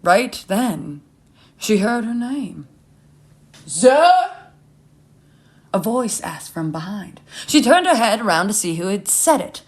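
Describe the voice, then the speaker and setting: A woman narrates a piece of short fiction. She reads scripted prose as the storyteller, in a voice of medium pitch for a woman. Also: expressive, measured pace.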